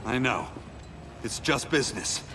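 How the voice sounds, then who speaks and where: A man's voice: medium pitch, expressive, unhurried, very noisy, lightly acted. A man voicing an earnest, idealistic young superhero speedster in a video game cutscene, speaking with urgency. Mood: regretful.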